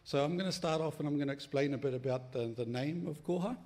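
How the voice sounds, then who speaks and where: A middle-aged man from New Zealand with a New Zealand accent, giving a relaxed, friendly conference talk, with many ums and uhs. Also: fairly high pitch, fast talker. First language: Māori. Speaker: workshop speaker.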